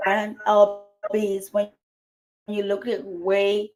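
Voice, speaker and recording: A woman's voice: medium pitch, monotone, slow, darkish tone. A woman in a played clip delivering a blunt, profane, insulting rant. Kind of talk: confrontational rant.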